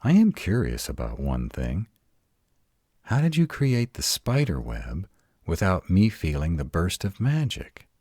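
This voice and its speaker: An extremely deep-voiced, grumpy were-dragon played by a man guards his home in a roleplay audio drama. He drawls with gruff sarcasm and swings expressively in pitch, sounding close and dry. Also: huge pitch swings, measured pace, darkish tone, dry recording, full character voice. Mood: grudging, puzzled.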